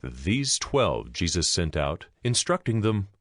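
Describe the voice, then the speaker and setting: A man's voice: sits low, sing-song, takes it slowly, a bit bright, dry recording, clean studio sound. A man narrating scripture from a script in a solemn storytelling manner, with music beneath.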